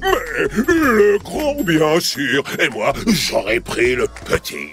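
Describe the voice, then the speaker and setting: A man's voice: a little high, big pitch swings, slow, a bit bright, soft background music, exaggerated voice. A man voicing a blustering, gullible, boastful townsman in a children's cartoon, with exaggerated indignation. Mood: indignant. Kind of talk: French children's cartoon dubbing.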